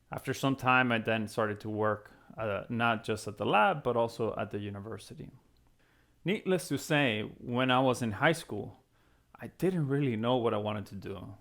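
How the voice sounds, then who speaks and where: Guy in his 30s, creaky mid-pitched voice, explaining stuff to students in an encouraging chatty way. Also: fairly bright tone. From Venezuela (Caracas). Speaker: physics professor and program co-founder. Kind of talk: explanatory talk to students, conversational.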